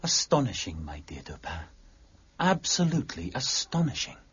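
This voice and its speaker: An actor playing a polite, affable artist in a period radio drama, speaking courteously and with gentle hesitation. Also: male voice, mid-range voice, big pitch swings, normal speed, slightly rough, lo-fi sound, heavily acted. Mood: amazed. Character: polite, affable artist, the detective's admiring friend.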